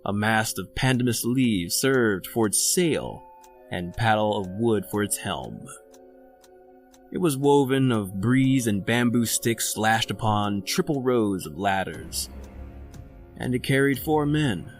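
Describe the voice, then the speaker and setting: Man acting deep, eloquent convict doctor narrator. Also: expressive, takes it slowly, soft background music. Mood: grave, ominous. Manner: eloquent, theatrical, formal. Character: Dubois, worldly, self-possessed French convict doctor and murderer. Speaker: actor narrating and playing a lead. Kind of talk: old-time radio horror drama acting, scripted narration.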